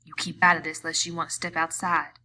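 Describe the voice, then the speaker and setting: A woman's voice: low voice, level tone, fairly quick, a little hiss or hum. A woman playing a hot-headed cocky young brawler. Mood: defensive. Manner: belligerent, loud, defensive. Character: cocky hot-tempered young boss's son, man.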